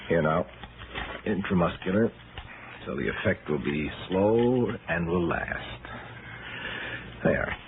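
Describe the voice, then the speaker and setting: An actor playing a cold, self-assured criminal chemist in a 1960s radio crime drama, speaking with calm, smug menace. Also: male voice, low voice, slow pace, long pauses. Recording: noisy vintage radio, narrow band.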